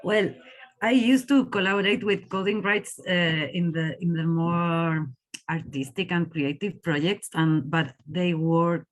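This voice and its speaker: Slow-spoken woman with a lowish voice. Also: fairly even intonation. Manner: searching for words, repeats words. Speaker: panelist.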